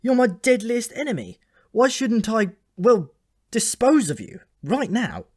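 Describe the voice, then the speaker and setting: A man voicing a bold, indignant young man with an excitable, questioning way of talking, in a storybook reading. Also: very high voice, a little slow, voice pushed up, exaggerated voice. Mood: indignant, defiant.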